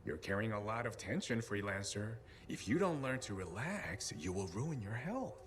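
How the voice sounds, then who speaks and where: A smug, low-voiced, swooping theatrical scientist. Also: male voice, very animated, moderate pace, slight background noise. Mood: smug, taunting. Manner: mocking, patronizing, theatrical. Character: smug, theatrical captive male scientist, eccentric and slippery. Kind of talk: video game cutscene acting.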